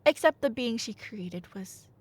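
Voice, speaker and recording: A woman's voice: a little high, lively intonation, a little slow, husky. A woman playing a nerdy girlfriend who tells myths in a casual, rambling ASMR roleplay style, with wry, hesitant asides. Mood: engrossed storytelling. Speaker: ASMR audio roleplay performer.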